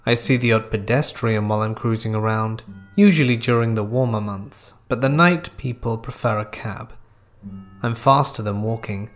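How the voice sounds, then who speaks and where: A man with a medium-pitched voice narrates a horror tale in the first person. His delivery is scripted and measured, with a dramatic storyteller's manner.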